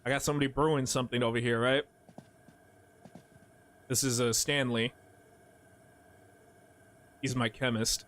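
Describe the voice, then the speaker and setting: A man's voice: mid-range voice, steady tone, measured pace, pauses often, a bit bright. Rambling, foul-mouthed male streamer. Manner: rambling, profane, jokey storytelling. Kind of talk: casual gaming stream chat.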